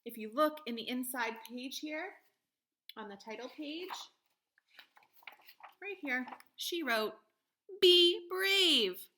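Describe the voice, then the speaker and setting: A woman's voice: high-pitched, lively intonation, slow, pauses often, bright, forceful tone. A woman in her thirties giving a read-aloud lesson to children like a teacher, encouraging them with simple, direct questions. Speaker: elementary school teacher. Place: room at home.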